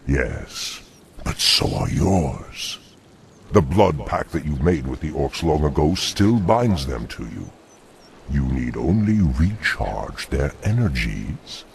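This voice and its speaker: An actor voicing a scheming demonic dreadlord in a game cinematic, a sly, sinister tempter with a measured, insinuating delivery. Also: male voice, big pitch swings, exaggerated voice. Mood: sinister, persuasive. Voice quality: very deep, gravelly. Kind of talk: video game cinematic acting.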